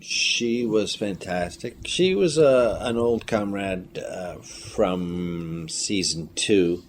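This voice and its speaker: Low-voiced man telling animated anecdotes. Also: very slow. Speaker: filmmaker and writer, interview guest. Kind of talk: casual conversational anecdotes. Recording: clearly noisy room ambience.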